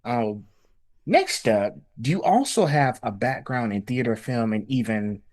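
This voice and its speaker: A man's voice: mid-range voice, expressive, unhurried, darkish tone. Rambling, enthusiastic podcast host man. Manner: rambling, enthusiastic, many fillers. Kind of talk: casual interview chat.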